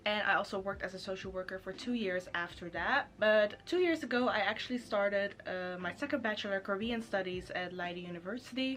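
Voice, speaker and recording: A woman's voice: mid-range voice, fairly bright tone. A woman in her twenties from the Netherlands, Dutch by first language, chatting casually to camera in a rambling way, with many fillers. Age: 20s. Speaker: YouTuber.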